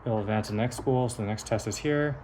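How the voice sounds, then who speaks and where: A man's voice: fairly low pitch, a little fast, very noisy. A man giving a hands-on technical demonstration of a device in a methodical, explanatory manner. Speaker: engineer presenting a prototype device.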